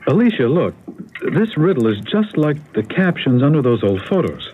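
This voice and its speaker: A man's voice: a little high, big pitch swings, moderate pace. An actor playing a practical, questioning man puzzling over a mystery in a radio drama. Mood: intrigued. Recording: narrow, very noisy old radio recording.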